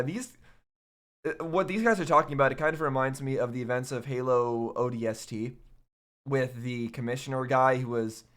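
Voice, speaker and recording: A man giving casual reaction commentary in a halting, musing way. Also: medium pitch, fairly bright tone. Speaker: reaction video host.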